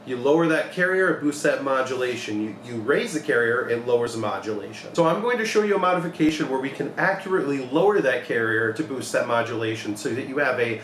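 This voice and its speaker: A man's voice: mid-range voice, animated, fast talker, few pauses, a bit bright. Man teaching in an echoey, noisy room. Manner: plain-spoken, step-by-step. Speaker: repair technician demonstrating a modification. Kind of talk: hands-on tutorial, informal explaining. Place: small cluttered electronics repair workshop, indoors. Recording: echoey room with clear background noise.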